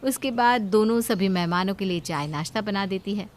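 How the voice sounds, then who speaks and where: A woman narrates a cartoon family tale from a script. Her voice is of middling pitch, in the role of storyteller. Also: normal speed, slight background noise.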